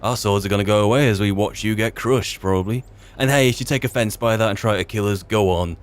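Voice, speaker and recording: A man's voice: on the low side, fast. A man giving casual in-character roleplay commentary as he plays a game, in a wry, muttering manner. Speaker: gamer playing a character.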